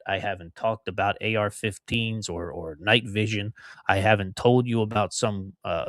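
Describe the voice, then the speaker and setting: A man's voice: fairly low pitch, no echo, clean studio sound. A middle-aged man from outside Philadelphia hosting a solo podcast, talking in a rambling, conversational way with emphatic repetitions. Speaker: podcast host.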